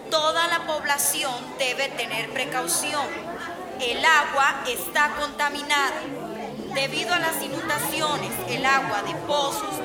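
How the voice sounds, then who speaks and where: Urgent high-pitched announcer, echoing. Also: female voice. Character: urgent female public announcer warning villagers. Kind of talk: public announcement in radio drama. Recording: echoey, loud music and crowd behind.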